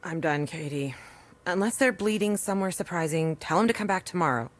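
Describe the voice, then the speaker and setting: An actress playing a weary, dry, no-nonsense rural doctor in an audio drama. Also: female voice, lowish voice, fairly quick, very noisy, lightly acted. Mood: weary, curt. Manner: dry, deadpan. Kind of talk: audio drama acting with recorded diary narration.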